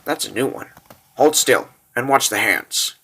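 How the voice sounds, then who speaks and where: A man voicing a brash, sardonic mercenary woman in a comic book dub, curt and deadpan. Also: medium pitch, unhurried, slightly rough, heavily acted. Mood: dry. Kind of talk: comic book dub, dramatic character voices.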